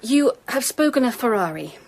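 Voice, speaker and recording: An actress playing an earnest young gentlewoman, polite, controlled and gently firm, in a radio drama. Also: a little high, animated, moderate pace. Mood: firm, composed.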